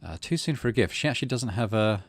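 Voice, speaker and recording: A middle-aged man with a low voice talks through a video game in a casual way. His intonation moves around a lot, sliding from dry muttering to himself into animated reading of on-screen text. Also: quick pace. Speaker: gaming channel host.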